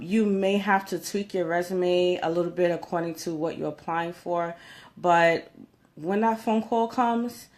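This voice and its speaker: A woman speaking as a career coach in an informal advice vlog, conversational and emphatic, often checking in with her listeners. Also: on the low side. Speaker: career coach giving job interview tips.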